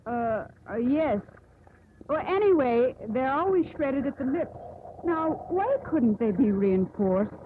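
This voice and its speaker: An actress playing a sharp-tongued, commanding young captain's daughter at sea in a 1950s radio drama. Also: female voice, sits high, lively intonation, slow pace. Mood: brisk, inquisitive. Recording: muffled, hissy 1950s radio broadcast.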